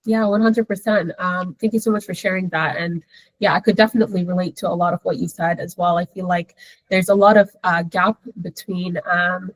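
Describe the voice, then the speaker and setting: A woman's voice: lowish voice, steady tone, a little fast, no echo, rough recording. A woman moderating a webinar panel over a video call, friendly and meandering with many fillers.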